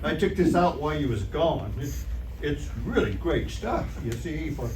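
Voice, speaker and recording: A folksy, sheepish radio-comedy husband played by a man, fairly high and very animated. The sound is roomy and far from the mic. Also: normal speed. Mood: sheepish excuses. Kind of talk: old-time radio comedy acting. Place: live theater stage performance. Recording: roomy, distant, noisy stage recording.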